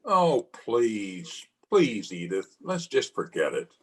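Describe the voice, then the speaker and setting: Exasperated husband, theatrical radio-drama style, very lively medium-pitched voice, male actor. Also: slow pace. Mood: polite, protective. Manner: testy, theatrical. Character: exasperated, fond husband of nine years, sparring with the maid. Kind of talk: old-time radio drama acting. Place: at home, recorded over video call.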